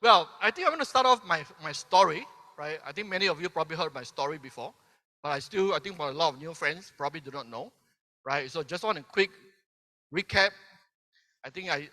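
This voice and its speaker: Brief: Malaysian-born man, 50s, bright voice, convention stage with long hall reverb, keeps checking in with "right?". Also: fairly high pitch. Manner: peppers sentences with "right?", asks the crowd questions. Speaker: keynote speaker. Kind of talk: motivational convention speech.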